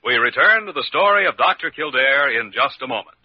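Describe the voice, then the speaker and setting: A man's voice: medium pitch, lively intonation, brisk, muffled recording. Formal old-time radio announcer man. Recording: old radio over loud music.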